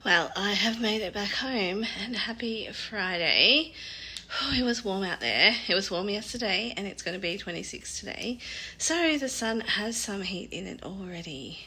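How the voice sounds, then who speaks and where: A woman, chatting casually to her phone camera in a family vlog, her voice a little croaky. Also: mid-range voice, animated, unhurried, pushed and bright, poor audio. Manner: chatty, laughs between sentences. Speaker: family vlogger. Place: outdoors by a busy road. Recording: clear traffic noise outdoors.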